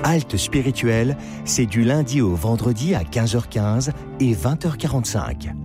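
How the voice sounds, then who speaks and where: A man's voice: medium pitch, expressive, unhurried. A man voicing a station promo in a polished announcer read. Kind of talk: radio promo. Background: music bed.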